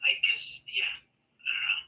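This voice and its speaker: A man's voice: slow pace, bright, forceful tone. Sarcastic man on a distant call. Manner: sarcastic, mocking, rambling with fillers. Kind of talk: casual heated online debate.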